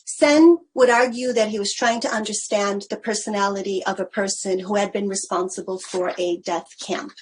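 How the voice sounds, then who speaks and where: A woman giving an academic lecture in an online webinar, speaking in a measured way with some hesitant fillers. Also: medium pitch, fairly even intonation, slight background noise, rough recording. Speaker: research fellow giving a webinar talk.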